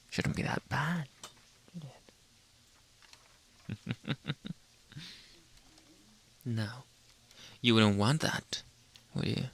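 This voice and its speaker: A man acting a slow, whispery jealous boyfriend. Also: mid-range voice, a bit bright, heavily acted. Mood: veiled threat. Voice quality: breathy, often dropping into whisper. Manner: theatrical, slow, drawn-out interrogating with dramatic pauses. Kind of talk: ASMR roleplay, close whispering and soft speech.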